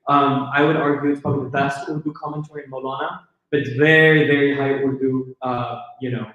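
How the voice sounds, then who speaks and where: A man's voice: medium pitch, steady tone, brisk, muffled recording. Earnest man in echoey hall. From California, USA. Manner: earnest, enthusiastic, thinks aloud with fillers. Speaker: panelist. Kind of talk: Q&A answer at a lecture.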